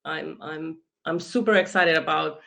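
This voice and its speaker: A woman's voice: sits low, fairly even intonation, unhurried, gravelly, bright, forceful tone. A woman from Finland with a Finnish accent, talking enthusiastically as an interview guest over a video call, with frequent restarts. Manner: enthusiastic, laughs often, restarts words. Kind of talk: conversational interview.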